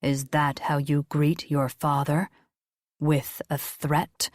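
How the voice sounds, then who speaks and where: A woman voicing an ancient, stern male angel who speaks slowly, with grave, commanding menace. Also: low voice, flat delivery, no room sound, clean studio sound, pitched below the performer's own voice. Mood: cold.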